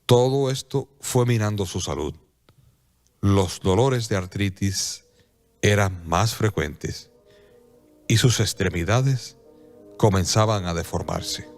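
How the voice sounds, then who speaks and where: Male narrator for a religious radionovela: lowish voice, measured and solemn, light music underneath. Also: darkish tone. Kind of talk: scripted radio drama narration.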